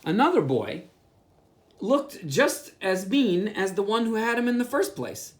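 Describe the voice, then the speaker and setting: A pastor reading a children's picture book aloud, with playful asides. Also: male voice, high-pitched, measured pace. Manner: animated storytelling with asides.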